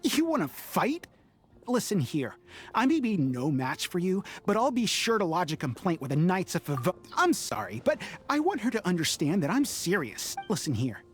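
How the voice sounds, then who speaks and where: A woman's voice: lowish voice, big pitch swings, moderate pace. A woman playing an indignant, exasperated townswoman who talks bluntly, in an anime video game. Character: fed-up, indignant townswoman confronting a noble.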